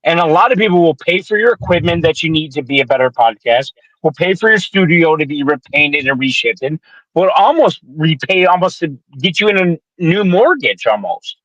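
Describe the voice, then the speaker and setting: A man in his twenties from the Detroit area, co-hosting a podcast in casual, profane chat, animated while he pitches advice. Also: normal speed, dry recording. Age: 20s. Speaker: podcast co-host. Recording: dull lo-fi sound.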